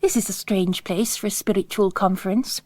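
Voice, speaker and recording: A woman voicing a mysterious, composed male priest, softly spoken and terse, in a story reading. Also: medium pitch, fairly even intonation, moderate pace, no room sound, lightly acted. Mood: quiet, probing.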